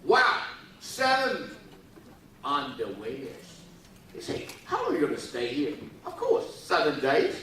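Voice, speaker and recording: A man's voice: a little high, wildly swooping pitch, unhurried, bright, forceful tone, slight background noise. A middle-aged man giving a joking speech to a live audience at a youth forum, playfully teasing the crowd and prodding them to respond. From Taiwan. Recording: echoey hall, voice from far off.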